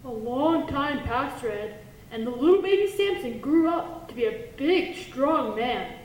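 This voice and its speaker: A high, cheerful puppet teacher acted by a woman telling stories to children in an animated way. The voice sits in an echoey room with a faint rumbly noise behind it. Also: unhurried. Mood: storytelling. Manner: exaggerated, animated, talks to children. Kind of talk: children's puppet show storytelling.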